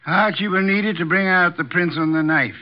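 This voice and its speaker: An actor playing an imperious, precise detective mastermind with pompous, formal diction in 1950s radio drama style. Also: male voice, high-pitched, brisk, a little hiss or hum, natural voice. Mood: brisk, commanding. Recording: dull old radio broadcast recording.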